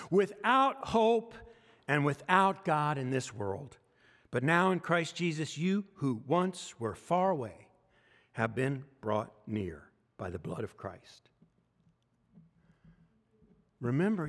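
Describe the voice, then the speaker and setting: A man's voice: mid-range voice, long reverb tail. A man with an American accent preaching a sermon as pastor, talking conversationally and joking with the congregation. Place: church sanctuary.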